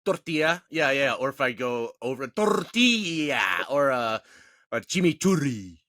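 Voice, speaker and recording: A man's voice: mid-range voice, big pitch swings, unhurried, pushed and bright, no echo, studio quality. A man co-hosting a podcast, opinionated and self-mocking in casual chat. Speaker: podcast co-host.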